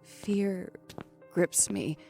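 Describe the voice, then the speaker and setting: A woman's voice: mid-range voice, very slow, creaky, a little mellow, faint music behind. A woman playing an anxious woman trapped in an elevator with a stranger, in an audio thriller. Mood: tense, subdued. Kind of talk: audio thriller acting with first-person narration.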